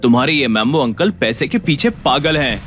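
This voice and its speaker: A man's voice: mid-range voice, very animated, moderate pace, fairly bright tone, soft background music. A man playing a proud, hot-tempered, sarcastic young husband in an audio drama, assertive and biting. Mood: cutting. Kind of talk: Hindi audio drama acting.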